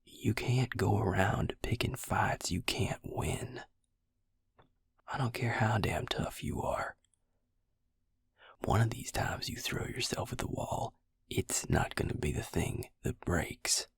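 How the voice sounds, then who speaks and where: A man acts out a protective, wry male vampire in an intimate audio roleplay. His voice is low and unhurried, sunk into a heavily fried, husky half-whisper. He sounds exasperated yet close, speaking straight to the listener in a dry, tight space. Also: no room sound, full character voice. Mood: exasperated, scolding. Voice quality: low, gravelly, breathy near-whisper.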